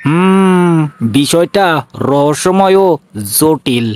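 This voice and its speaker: A man's voice: on the high side, takes it slowly, darkish tone, slight background noise. A man voicing a boastful, comically tough cartoon police inspector, emphatic, with a rural Bangladeshi accent. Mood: pondering. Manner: swaggering, emphatic.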